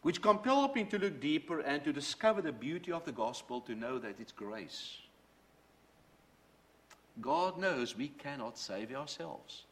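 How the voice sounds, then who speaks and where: A man's voice: fairly high pitch, sing-song, a little slow, fairly bright tone. A middle-aged man, a native Dutch speaker, preaching a sermon and telling his own faith story in an earnest, storytelling way. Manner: earnest, storytelling, quotes from books. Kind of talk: sermon with personal testimony.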